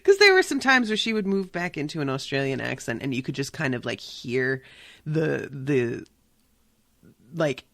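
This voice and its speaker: A woman hosting a podcast, chatting casually about a book with quick, irreverent asides and the odd swear word. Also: very deep voice, big pitch swings, gravelly, fairly bright tone, no room sound. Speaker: podcast host. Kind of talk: casual chat.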